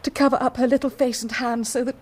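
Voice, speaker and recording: An actress playing an anguished, dignified married woman confessing a secret, speaking formally and with deliberate passion in a period radio drama. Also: on the high side, steady tone, a little mellow, lightly acted. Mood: anguished confession. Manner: formal, deliberate, impassioned, declamatory.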